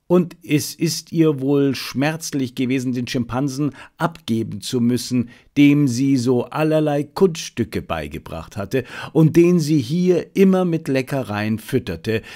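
A man's voice: medium pitch, dark tone. A male audiobook reader voicing a talkative, animal-loving zookeeper who explains things chattily. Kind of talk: audiobook character dialogue.

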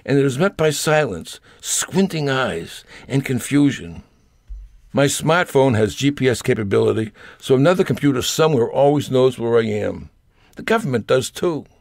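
A man's voice: medium pitch, expressive, moderate pace, vocal fry, no room sound, studio quality. An older man reading a scripted personal essay aloud in a wry, grumbling manner. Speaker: storyteller reading own essay.

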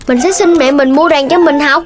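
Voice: high-pitched, normal speed, lightly acted. An actor voicing a dreamy, boastful little boy puppy who shows off and exclaims in a children's cartoon. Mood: proud, boastful. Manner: exclaiming, showing off, whiny when thwarted. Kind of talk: children's cartoon dubbing in Vietnamese.

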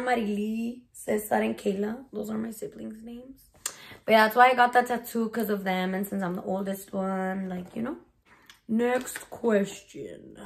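A woman of twenty chatting casually in a bedroom Q&A vlog, slangy and mixing in Spanish words, recorded on a phone. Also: on the high side. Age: 20s. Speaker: vlogger. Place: small bedroom. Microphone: phone camera at arm's length.

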